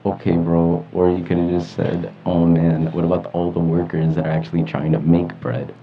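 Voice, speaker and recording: A teenage boy with a very deep, flat voice, bantering and teasing in a joking way. The recording is dull and lo-fi with faint noise. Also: normal speed. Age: teens. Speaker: teen panelist. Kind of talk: casual chat.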